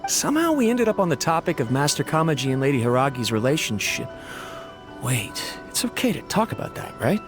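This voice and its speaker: A man's voice: a little high, sing-song, fairly quick. An actor playing a friendly, polite young man who talks cautiously and conversationally, in a video game cutscene. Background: game music.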